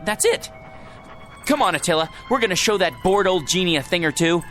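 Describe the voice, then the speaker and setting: A hapless, self-important little man in a radio drama, with a highish voice that swings widely. He is theatrical, pompous one moment and faltering the next, with music underneath. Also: animated, a little fast. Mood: rueful. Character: hapless, grandiose everyman dreaming of being president, then genie.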